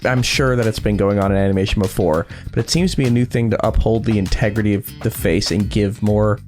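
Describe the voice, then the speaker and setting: A man's voice: on the low side, steady tone, fast talker, a little mellow, soft background music. A man hosting an anime reaction show, commenting casually as he watches.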